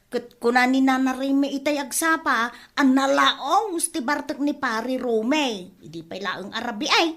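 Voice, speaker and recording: A woman's voice: high-pitched, measured pace, few pauses, fairly bright tone. A woman acting a gossipy village neighbour in an Ilocano comedy radio drama, with a Filipino Ilocano accent. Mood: gossiping.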